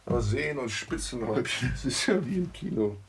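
A man's voice: medium pitch, animated, fairly quick, a little hiss or hum, close to an everyday voice. An actor playing a sceptical, wry man in his thirties, an amateur investigator in a German radio drama. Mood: wry, dismissive. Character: sceptical, wry younger brother, an architect turned amateur investigator.

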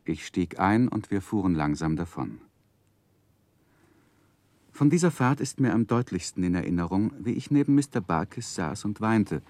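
A man's voice: sits low, lively intonation, normal speed, a little mellow, no room sound. An actor playing a grown man recalling his boyhood, narrating a 1960s German radio drama in a literary, reflective manner.

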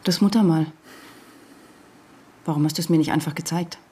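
An actress playing a distraught wife confronting her husband, speaking haltingly in intimate radio drama dialogue. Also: sits low, fairly even intonation, dark tone, slightly rough. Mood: overwhelmed.

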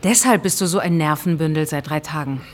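An actress playing a sharp-tongued, daring lover in a radio play, teasing and pushy in the way she talks. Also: low voice, fairly quick. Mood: sceptical, curious. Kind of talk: German radio play acting.

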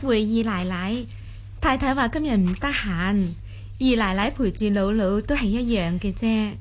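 A woman's voice: medium pitch, a little slow, lightly acted. A woman playing a helpful, respectful senior servant in a Cantonese radio drama.

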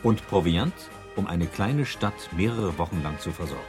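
A man's voice: low voice, lively intonation, normal speed, loud music behind the voice. A man narrating a documentary radio play, terse and matter-of-fact.

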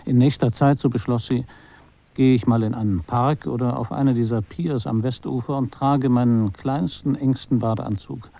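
A detached narrator, creaky and dark. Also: male voice, mid-range voice, dull audio. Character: detached, quietly observant male narrator. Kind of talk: scripted literary narration in a German radio drama.

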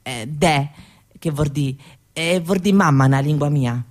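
An actress playing an easygoing young Roma daughter, chatting colloquially in a Roman accent as part of a theatre monologue. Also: female voice, low voice, a little slow, darkish tone. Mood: fond, casual. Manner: colloquial, chatty, answering an unseen listener. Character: easygoing young Roma daughter reminiscing about camp life.

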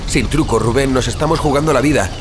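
A man's voice: mid-range voice, fast, natural voice. A man with an accent from Spain playing a desperate, protective, doom-obsessed brother, urgent and pleading, in an audio drama. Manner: urgent, pleading, foul-mouthed. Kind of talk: Spanish audio fiction acting. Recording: dramatic music bed under the voice.

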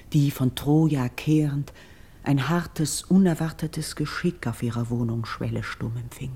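Breathy, solemn young priestess. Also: female voice, a bass voice, dark tone, exaggerated voice. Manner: solemn, measured, declamatory. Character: grave, noble young priestess of Diana, sorrowful and dignified. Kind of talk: classical verse radio drama acting.

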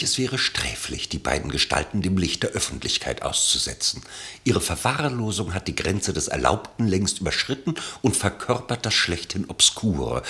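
A man narrating a literary radio play from a script in an expressive, wryly elevated manner. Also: deep voice, gravelly.

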